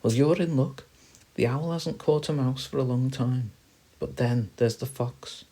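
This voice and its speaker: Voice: mid-range voice, a little slow, warm tone, breathy edge, voice pushed up. A man voicing a friendly, confident forest squirrel in a children's story, chirpy and assured in manner.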